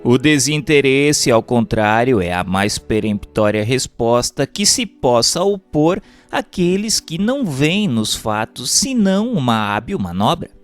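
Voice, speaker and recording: A man's voice: medium pitch, sing-song, unhurried, few pauses. Formal Brazilian man narrating over music. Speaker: narrator reading a book chapter. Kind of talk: scripted narration of a spiritual treatise. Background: background music.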